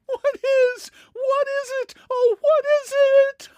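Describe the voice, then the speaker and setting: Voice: medium pitch, sing-song, measured pace, darkish tone, no echo, studio quality, pitched above the performer's own voice. A reader voicing a petted, helpless young woman who speaks plaintively in rural dialect. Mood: hysterical.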